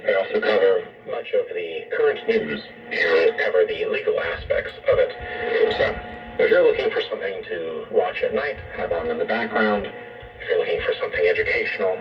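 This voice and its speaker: A man's voice: deep voice, lively intonation, moderate pace. A man giving a spoken recommendation over shortwave radio. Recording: dull, distant shortwave radio with loud music.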